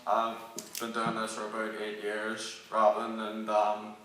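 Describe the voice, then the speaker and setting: A man's voice: fairly low pitch, completely flat delivery, unhurried, a bit bright, far from the mic, slight background noise. A young man with a Belfast accent whose first language is Irish, a rapper speaking earnestly and reflectively on a panel. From West Belfast. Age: young adult. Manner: earnest, reflective, many fillers. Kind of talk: casual panel talk. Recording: echoey hall.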